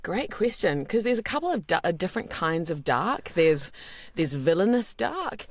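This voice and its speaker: A woman with a naturally deep, low voice answers questions as a guest voice actor. She talks openly and thoughtfully, often restarting her sentences. The sound is dry and close. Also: sing-song, moderate pace, dry recording. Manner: candid and reflective, with many fillers and restarts. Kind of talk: podcast interview.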